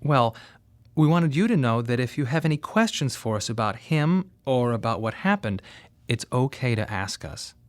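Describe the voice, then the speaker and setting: A medium-pitched male voice carries a first-person radio monologue as a grieving father played by an actor. He is plain-spoken and measured, with a wry, tense edge and deliberate pauses. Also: big pitch swings, natural voice. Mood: gentle, careful. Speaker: narrator and lead of a monodrama.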